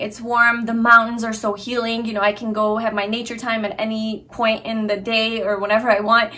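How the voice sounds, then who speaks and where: A woman's voice: a little high, sharp tone. A young woman from Hungary talking casually and earnestly to her vlog viewers, with lots of fillers and restarts. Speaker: vlogger. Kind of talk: casual personal vlog talk.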